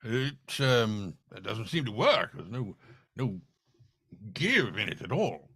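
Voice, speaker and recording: An actor playing a bumbling, befuddled gentleman sidekick in a live old-time radio mystery, hesitant and stammering, with a raspy voice. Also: on the low side, very animated, slow, no echo, exaggerated voice. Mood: flustered. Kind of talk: 1940s radio mystery acting, performed live.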